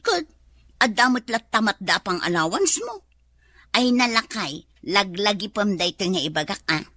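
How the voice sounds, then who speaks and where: A woman's voice: mid-range voice, lively intonation, normal speed, pushed and bright, no room sound, studio quality. A woman playing a long-suffering, wry housewife with an Ilocano Filipino accent in a comedy radio drama. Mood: complaining. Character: long-suffering, exasperated housewife, thrifty and sarcastic.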